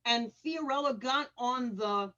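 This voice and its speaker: A woman's voice: a little high, unhurried, sharp tone. A woman explaining things casually as a panelist, on a dull lo-fi recording. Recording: dull, lo-fi sound.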